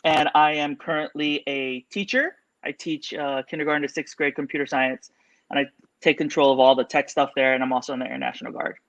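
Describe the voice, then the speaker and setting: A man's voice: on the high side, steady tone, brisk, fairly bright tone. A man in his thirties hosting a casual podcast, chatting loosely with fillers. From California. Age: 30s. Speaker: podcast host. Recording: dull lo-fi audio.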